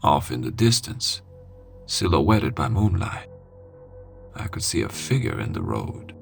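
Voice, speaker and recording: A man reading a scary first-person story in a dramatic, suspenseful way with deliberate pauses. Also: a bass voice, very animated, vocal fry. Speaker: horror story narrator. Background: music.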